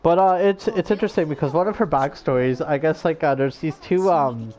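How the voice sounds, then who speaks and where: A man's voice: fairly high pitch, a little fast, a little mellow, soft background music. A young man giving casual, rambling game commentary as a streamer, swearing freely and talking in slang. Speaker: gaming streamer.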